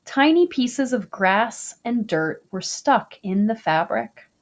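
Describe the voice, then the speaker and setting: A woman reading a children's picture book aloud, slow, gentle and expressive. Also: on the high side. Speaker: storybook reader.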